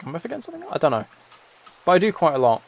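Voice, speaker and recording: A man's voice: on the high side, wildly swooping pitch, racing, creaky, slight background noise. A tired-sounding man chatting casually on a gaming livestream, rambling and interrupting himself as he replies to viewers. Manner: rambling, self-interrupting, replying to chat comments. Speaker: livestreamer and musician.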